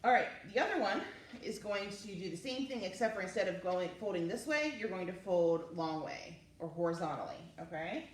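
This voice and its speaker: A woman speaks to young children in an informal, encouraging way, explaining things step by step. Her intonation swings widely and keeps things animated. She is recorded some way from the microphone in an echoey room, with a faint layer of noise. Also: lowish voice, a little fast. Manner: encouraging, informal, talking through each step. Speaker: children's librarian hosting story time and craft. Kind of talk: casual instructional talk to young children. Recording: roomy, distant mic with faint noise.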